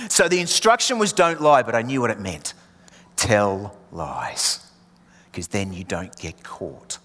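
An English-born man with an Australian accent preaches, repeating key lines with rising force and sinking almost to a whisper. His voice sits at an ordinary pitch for a man. A long reverb trails each phrase, as in a large hall. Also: fairly bright tone. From England. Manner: emphatic, repeats refrains, drops to near whisper. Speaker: pastor. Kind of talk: sermon.

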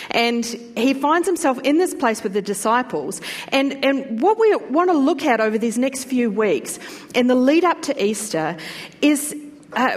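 New Zealand woman preaching in a reverberant hall. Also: on the high side. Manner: chatty, warm asides to the congregation. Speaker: pastor. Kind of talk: sermon. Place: church hall.

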